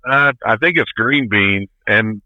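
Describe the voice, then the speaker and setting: A man's voice: fairly low pitch, a little slow, no echo. A plain-spoken, folksy man in his fifties telling stories casually as a truck driver being interviewed. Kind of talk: casual storytelling. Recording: tinny phone-like line.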